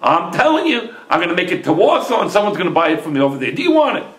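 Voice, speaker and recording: A hurried folk-tale merchant, put on by a male storyteller, pushy and insistent. He calls out in a high, animated voice with room echo. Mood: urgent, insistent. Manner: calling out insistently.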